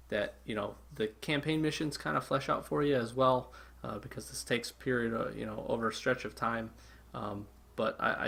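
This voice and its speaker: A man's voice: mid-range voice, vocal fry, fairly bright tone. A man hosting a gaming channel, giving a chatty, enthusiastic casual intro with lots of fillers.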